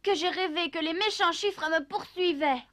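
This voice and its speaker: A woman voicing an earnest, excitable little wooden puppet boy in a children's cartoon, whiny and dramatic. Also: very high voice, normal speed. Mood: despairing. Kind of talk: French-dubbed children's cartoon acting.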